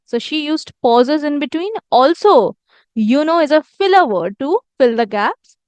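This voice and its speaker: A woman's voice: high-pitched, lively intonation, a little slow, a little mellow, dry recording. A woman giving an instructional lesson as a communication teacher, explaining emphatically and repeating phrases for stress. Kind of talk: instructional lesson with demonstrated lines.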